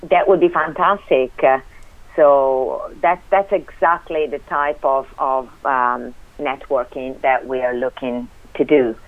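A woman's voice: very deep voice, steady tone, slow, dry recording. A woman from Italy with an Italian accent, a guest on a radio interview, speaking hesitantly with many fillers and restarts. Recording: tinny phone line.

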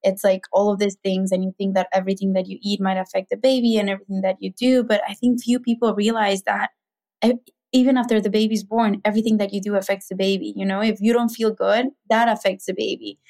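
A woman in her thirties with a Mexican accent talks as a podcast guest. Her voice sits at a middle pitch, and she keeps her speech loose and chatty, full of little fillers. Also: flat delivery, fast, a little mellow. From Mexico City. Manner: chatty, many fillers like "like" and "you know". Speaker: guest, business founder. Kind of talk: casual podcast conversation.

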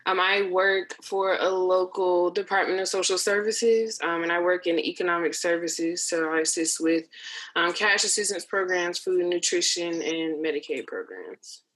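A twenty-five-year-old woman on a panel, introducing herself in a soft, measured way. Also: fairly low pitch, low-quality recording. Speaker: panel guest. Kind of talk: self-introduction.

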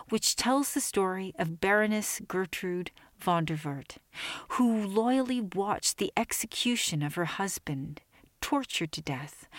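A woman's voice: fairly low pitch, dry recording, clean studio sound. A woman reading scripted literary narration in a composed, carefully phrased way. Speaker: reader, narrator.

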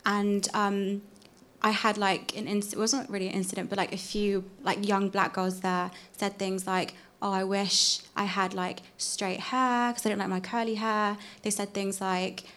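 A woman in an audience asking a casual question, halting and often saying "like". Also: mid-range voice, level tone, a bit bright, a little hiss or hum. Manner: halting, frequent "like". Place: live panel event.

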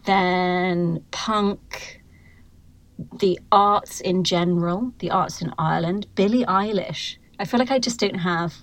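A woman's voice: on the low side, slow, no echo. A woman co-hosting a podcast in casual chat, hesitant with many ums and restarts, recording in a hotel-room cupboard on a computer mic that peaks. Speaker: podcast co-host. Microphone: computer mic, peaking.

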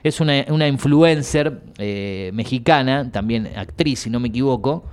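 A man's voice: medium pitch, normal speed, a little mellow. A man with an Argentine accent hosting a radio show in a studio, chatting casually and joking around. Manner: chatty, jokey, rambling. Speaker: radio host.

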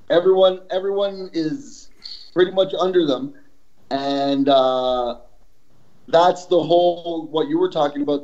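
A man's voice: a little high, darkish tone. A man from Canada chatting casually as a podcast guest, loose and slangy with some swearing. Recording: dull lo-fi audio.

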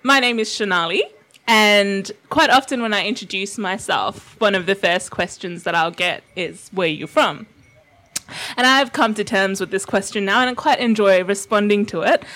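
A woman's voice: mid-range voice, moderate pace, fairly bright tone. A young woman from Sri Lanka telling a personal story to an audience in a reflective, conversational way, with frequent fillers and small laughs. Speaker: invited speaker.

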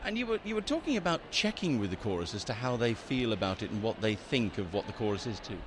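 Lively male radio presenter. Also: lowish voice, fast talker, noisy background. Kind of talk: radio magazine interview.

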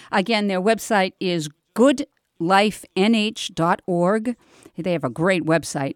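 A woman's voice: medium pitch, no room sound, clean studio sound. A woman hosting a live community radio show in an informal, rambling, effusive way, ad-libbing as she goes.

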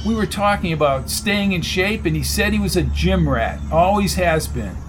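A man's voice: sits high, expressive, normal speed, noisy background. A man voicing a cocky, needling male football coach in an audiobook, talking in a confident, probing, sardonic way. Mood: earnest, probing.

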